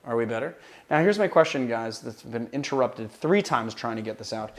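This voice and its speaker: Loud, resonant man chatting on a livestream. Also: mid-range voice, expressive, fairly quick. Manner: chatty, rambling, answers viewer questions. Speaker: cocktail channel host. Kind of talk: livestream casual chat.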